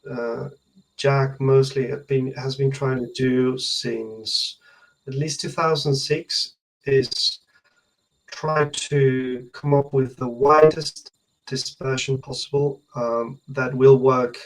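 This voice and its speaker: A man in his thirties speaks as an engineer being interviewed on a video call. His voice sits at a middle pitch. He explains technical detail hesitantly, often pausing mid-sentence to gather his thoughts. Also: fairly even intonation, low-quality recording. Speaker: loudspeaker research engineer, interview guest. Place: indoor room at home, on a video call.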